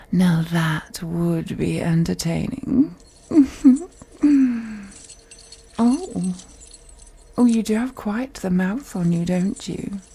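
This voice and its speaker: A very breathy, dark-toned vampire mistress, acted by a woman, speaking slowly and intimately. She purrs and teases, often sinking into a whisper. She is possessive and seductive in the manner of an ASMR audio roleplay. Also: mid-range voice, exaggerated voice. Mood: amused, teasing. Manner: slow, teasing, purring, often slipping into whispers. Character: wealthy, seductive, possessive female vampire toying with prey.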